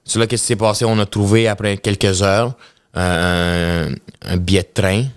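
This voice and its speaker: A man in his thirties with a Quebec French accent, a podcast guest telling his story in an animated way, with many restarts and English words mixed in. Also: sits low, moderate pace, clear, resonant tone, no echo, studio quality. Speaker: podcast guest, professional hockey player. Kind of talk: casual storytelling interview. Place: indoor podcast studio with bookshelves. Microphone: studio podcast mic on boom arm.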